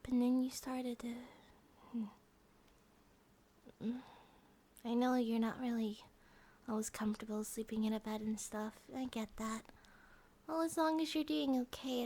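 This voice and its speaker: A woman voicing an affectionate, playful bear-girl wife in a gentle, rambling, intimate ASMR roleplay, often dropping toward a whisper. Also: high-pitched. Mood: soft concern. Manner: gentle, doting, hesitant rambling with soft giggles.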